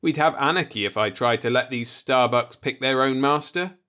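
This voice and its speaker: A man's voice: medium pitch, moderate pace, pushed and bright, clean tone, lightly acted. A man voicing a stern, distrustful young spaceship captain in a sci-fi audiobook, blunt and sardonic but earnest.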